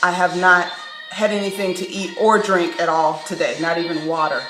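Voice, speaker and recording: A woman's voice: on the low side, moderate pace. Candid, wry woman vlogger in echoey room. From Chicago, US. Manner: candid, wry, laughs often, animated asides. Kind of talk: casual storytelling monologue. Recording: echoey room, faint background music.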